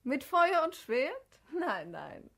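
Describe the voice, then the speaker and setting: Shrewd royal sister for radio drama: fairly high, eloquent, animated, with a schemer's assurance. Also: female voice, slow, gravelly, heavily acted. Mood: scheming, assured. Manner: eloquent, declamatory. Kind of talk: classic German radio play acting.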